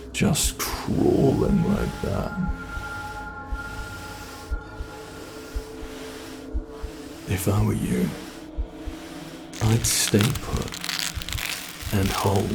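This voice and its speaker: A man playing a sinister, sadistic monster in a horror ASMR roleplay, gloating and musing theatrically with a gravelly creak. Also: on the low side, background noise, exaggerated voice. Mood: menacing. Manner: slow, menacing, gloating, theatrical musing with drawn-out words. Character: sinister male monster, sadistic flesh-sculpting predator toying with prey.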